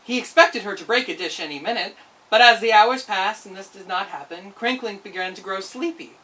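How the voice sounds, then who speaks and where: A woman's voice: mid-range voice, a little fast, fairly bright tone, recorded from a distance, low-quality recording. A woman narrating a children's storybook expressively as a storyteller.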